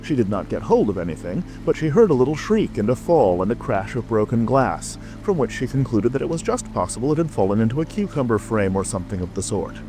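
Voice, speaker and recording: Lively-pitched male storybook narrator. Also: fast, hardly any pauses. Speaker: audiobook narrator. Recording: music and rain ambience behind. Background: music, rain.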